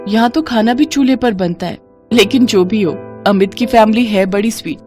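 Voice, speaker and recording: A woman's voice: medium pitch, moderate pace, darkish tone, faint music behind, close to an everyday voice. A woman voicing a determined young rich bride who speaks eagerly, mixing in English words. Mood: musing.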